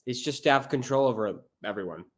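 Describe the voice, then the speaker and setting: A man's voice: medium pitch, lively intonation, a little fast. A man hosting a podcast, asking questions and chiming in with short agreeing replies. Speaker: podcast host. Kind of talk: casual interview chat.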